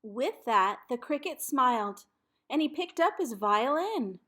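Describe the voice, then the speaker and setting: A woman reading a children's storybook aloud as a teacher would, with deliberate, animated storytelling. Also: sits high.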